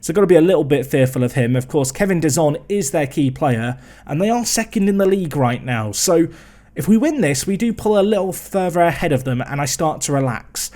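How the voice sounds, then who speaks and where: A man's voice: fairly high pitch, animated, brisk, warm tone. A young man casually commenting on a football management game, chatty and self-mocking. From England. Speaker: gaming video host.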